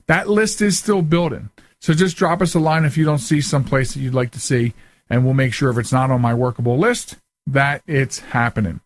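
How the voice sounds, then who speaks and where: A man's voice: mid-range voice, a little fast, dry recording. A man hosting a radio show in a casual, rambling, conversational way. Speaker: radio show and podcast host.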